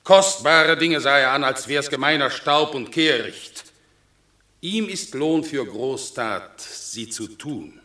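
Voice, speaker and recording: A solemn Roman orator who declaims verse slowly and with weight, in a bright, carrying tone. The sound is roomy, with a studio reverb around the voice, as in an old radio play. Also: male voice, mid-range voice. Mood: solemn praise. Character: solemn male Roman orator praising a hero. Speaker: Roman consul reporting a battle. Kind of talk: classic stage radio drama.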